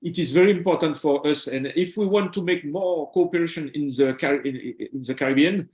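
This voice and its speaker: A man answering as a panel guest, haltingly, searching for his words. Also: fairly high pitch, darkish tone. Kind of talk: answering questions in a discussion. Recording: echoey room sound.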